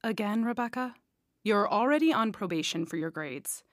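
Woman as a firm, measured school dean. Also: mid-range voice, animated, no room sound, clean studio sound, natural voice. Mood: disappointed, firm. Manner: measured, admonishing. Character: firm but forgiving female boarding school dean. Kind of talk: audio drama acting.

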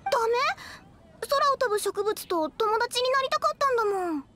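A woman's voice: very high-pitched, lively intonation, fairly quick, pushed and bright, slight background noise, heavily acted. A woman voicing a cheerful, dreamy little flower girl in an anime game, sweet and innocent in manner. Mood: friendly. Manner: sweet, childlike, giggly. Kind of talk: Japanese anime game voice acting. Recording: music playing behind the voice.

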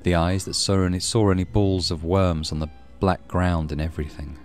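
Deep-voiced man narrating a horror game. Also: steady tone, moderate pace, a little mellow, faint music behind. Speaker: gaming channel host. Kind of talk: reading a horror visual novel aloud in a let's play.

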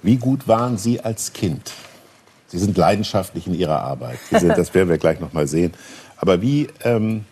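A middle-aged man hosting a talk show, presenting and interviewing effusively and ceremoniously, with hesitant pauses. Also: low voice, animated, dark tone. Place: large TV talk show studio with audience. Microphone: lapel mic. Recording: studio audience noise.

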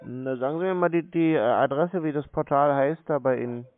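A man's voice: medium pitch, a little fast. A man speaking casually and bluntly in a phone conversation, sounding unimpressed. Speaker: show host taking scam calls. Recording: band-limited, phone-like audio.

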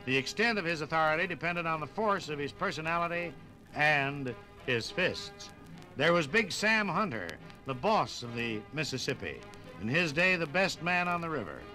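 A man's voice: on the high side, expressive, normal speed, pushed and bright, faint music behind, poor audio. An American man narrating a scripted 1950s radio documentary in a dramatic, folksy storytelling manner.

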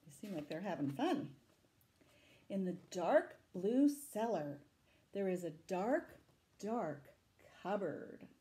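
A middle-aged woman reading a picture book aloud to children, dramatic and deliberate, adding asides and questions for her young listeners. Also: medium pitch, expressive, warm tone. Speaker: library storytime reader. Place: small room indoors. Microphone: camera a short distance away.

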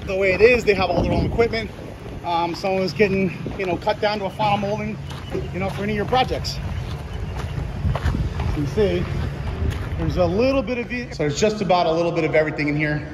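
A man's voice: sits high, brisk, long pauses. A plain-spoken man explaining things informally as he gives a casual walking tour of a woodworking mill. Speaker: mill worker giving a tour. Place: large woodworking mill and lumber warehouse. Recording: noisy workshop with machinery hum.

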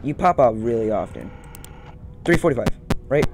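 A man's voice: medium pitch, expressive, normal speed, over loud music. A young man giving casual game commentary, nervously muttering and repeating his words over and over. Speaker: gaming video host. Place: indoor room at home.

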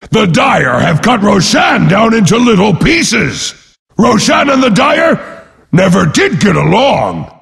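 Boastful warrior brute, game announcer, gravelly, swooping pitch, pompous, bombastic, battle-hungry. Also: male voice, a bit bright, exaggerated voice. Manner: bellowing, pompous, speaks of himself in third person. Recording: long booming reverb.

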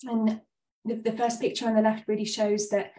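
30s woman doctor talking on a video call, stuffy and a bit breathless, flat mellow voice, echoey far-off mic. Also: on the high side, moderate pace. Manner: earnest, frequent ums. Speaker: GP giving a presentation. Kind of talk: webinar talk.

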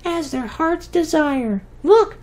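A narrator voicing a tiny, sweet female fairy who giggles and speaks in a high sing-song voice. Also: lively intonation, slow, a little mellow. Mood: cheerful.

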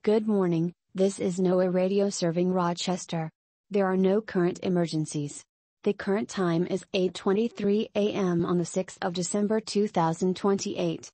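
A woman reading a scripted weather radio announcement with measured, synthetic delivery. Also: on the low side, steady tone, warm tone, no echo.

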